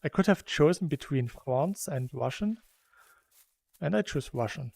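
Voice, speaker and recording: A German man in his thirties with a German accent talks through a game in a relaxed, rambling way. His voice sits a bit high and his pace is unhurried. The sound is dry and close. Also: creaky, no room sound. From Saxony, Germany. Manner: rambling and chatty, laughs between thoughts. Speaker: gaming YouTuber. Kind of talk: casual chat while playing a game.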